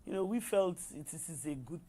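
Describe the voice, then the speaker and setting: A woman co-hosting a talk show, speaking in a measured way, often pausing on "you know". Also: fairly low pitch, expressive, dark tone. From Nigeria. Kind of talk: casual discussion on a talk show.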